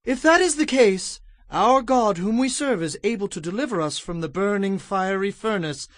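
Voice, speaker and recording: An actor playing a devout, fearless Hebrew captive, declaiming earnestly in a dramatized scripture recording. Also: male voice, high voice, lively intonation, normal speed, dry recording. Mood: confident, fervent.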